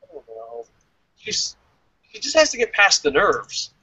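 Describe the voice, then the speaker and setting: A man's voice: fairly high pitch, a little slow, breathy edge, dry recording, dull audio. A man hosting a casual wrestling review show, joking and swearing freely as he reads out listener comments. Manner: profane, joking, animated.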